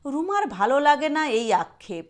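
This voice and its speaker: A woman's voice: sits high, big pitch swings, moderate pace. A woman narrating a literary short story expressively as a storyteller.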